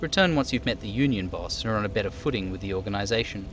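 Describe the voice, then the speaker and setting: Low-voiced man narrating a video game. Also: animated, racing, background noise. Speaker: streamer. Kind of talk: live reading of game narration.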